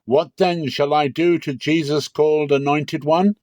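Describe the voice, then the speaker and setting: A man's voice: fairly high pitch, steady tone, unhurried, darkish tone, no echo, studio quality, pitched above the performer's own voice, close to an everyday voice. A man voicing a weary Roman governor who protests in a raised voice. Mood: questioning.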